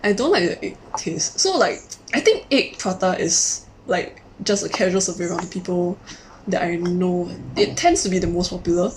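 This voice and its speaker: A woman's voice: lowish voice, expressive, normal speed, a little hiss or hum, rough recording. A young woman from Singapore with a Singaporean English accent, co-hosting a casual chat and explaining things at length with lots of "like" fillers. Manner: chatty and explanatory, many "like" fillers. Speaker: podcast co-host.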